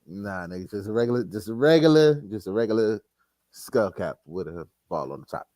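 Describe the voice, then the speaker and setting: A man's voice: lowish voice, sing-song, a little fast, warm tone, no room sound, clean studio sound. A man co-hosting a podcast, chatting casually in a slangy, profane, joking way. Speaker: podcast co-host. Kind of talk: casual chat.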